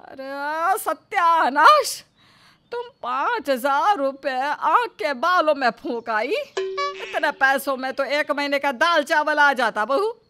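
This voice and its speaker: Woman playing a sharp-voiced nagging mother-in-law. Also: high-pitched, expressive, takes it slowly, soft background music, full character voice. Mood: scolding. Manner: nagging, exasperated, theatrical. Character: fretful, scolding middle-aged mother-in-law. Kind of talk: animated family story acting.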